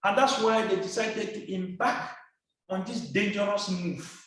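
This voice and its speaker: A man from Cameroon giving a formal seminar presentation, speaking slowly and deliberately. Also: sits high, fairly bright tone. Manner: slow, deliberate, formal phrasing. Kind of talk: lecture presentation. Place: seminar hall. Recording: echoey hall from a distance.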